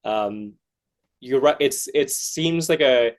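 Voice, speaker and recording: A man's voice: medium pitch, slow pace. A man hosting a casual interview, talking in a hesitant, rambling and encouraging way. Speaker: podcast host interviewer.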